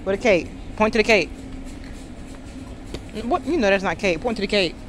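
A woman's voice: medium pitch, animated, moderate pace. A woman vlogging casually while shopping, talking with lots of ums and hesitations. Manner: chatty, hesitant with many ums. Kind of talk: casual family vlog chat. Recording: noisy phone recording.

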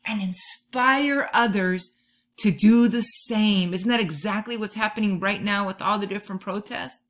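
A woman in her thirties doing an expressive children's read-aloud, drawing out key words like a teacher talking to young listeners. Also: mid-range voice, normal speed. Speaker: teacher reading a picture book to children. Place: bedroom or room at home, indoors.

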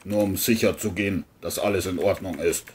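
A stern male sheriff from a crime audio play, acted by a man, low in pitch. He is formal and insistent, giving commands over faint noise and clicks. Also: steady tone, brisk, natural voice. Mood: insistent, suspicious.

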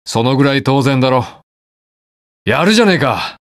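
An actor playing a stubborn, protective young masked hero in a video game, speaking curtly and brusquely. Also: male voice, medium pitch, animated, normal speed, dry recording, clean studio sound, lightly acted. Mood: grumbling, casual. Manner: curt, brusque, rough casual speech. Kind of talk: video game voice lines, battle quips.

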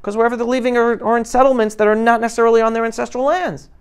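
A middle-aged man giving an impassioned, animated motivational talk to young people, with emphatic delivery. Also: very high-pitched, racing, smooth. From New York City, United States. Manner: emphatic, animated, swinging between loud and hushed. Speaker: guest speaker addressing high school students. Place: classroom, indoors. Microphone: lapel mic.

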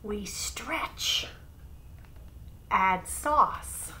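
A middle-aged woman reads a picture book aloud for children at an extremely slow pace. Her pitch moves in lively, animated swings across short phrases. Clear background noise from the room sits behind her webcam recording. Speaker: storybook reader. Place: small room at home beside an open window. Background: clear background noise, room ambience.

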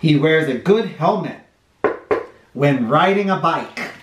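Children's read-aloud host: male, middle-aged, expressive and slow-paced, drawing out phrases, recorded in a roomy space. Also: a little high, background noise. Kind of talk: animated storybook read-aloud for kids. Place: small bright living room or playroom, indoors. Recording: roomy indoor echo.

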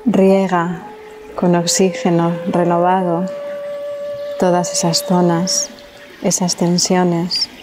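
A woman from leads a guided meditation in a calm, soothing way, leaving long pauses between phrases. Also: on the low side, music in the background.

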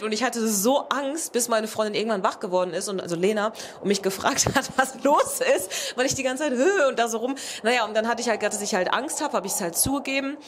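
A young woman telling a personal anecdote in an informal, slightly halting way. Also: medium pitch, background noise. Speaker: storyteller in a lie-detection game show. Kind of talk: casual anecdote and banter. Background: music.

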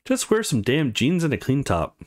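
A dry, brooding young hero of a visual novel game, read out by a man. The voice sits in a middle pitch. The lines come out curt and matter-of-fact. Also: huge pitch swings, moderate pace. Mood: dismissive.

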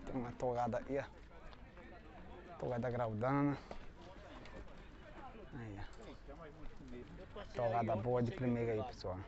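A man's voice: mid-range voice, flat delivery, measured pace, pauses often, crowd noise. A man with a Northeastern Brazilian accent hosting a casual, folksy vlog outdoors, often tagging his phrases with small questions. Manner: folksy, repeats prices back, tag questions. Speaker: vlog host. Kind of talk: casual livestock market tour, asking prices. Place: outdoor cattle market pens. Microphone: handheld phone, behind the camera. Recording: dull outdoor phone audio.

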